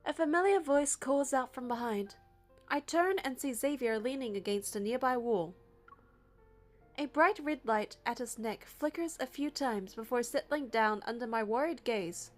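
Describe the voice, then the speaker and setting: High-voiced woman narrating a story over music. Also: normal speed. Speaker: player narrating a game playthrough. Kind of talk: expressive story narration, read aloud.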